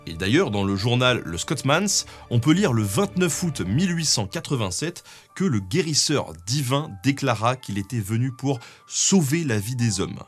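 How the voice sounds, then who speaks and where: French guy with accent from france explaining history, chatty, very varied pitch, faint music under him. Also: sing-song, moderate pace, slightly rough. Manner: conversational, peppered with "hein" tags. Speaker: history show co-presenter. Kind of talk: semi-scripted explanatory narration.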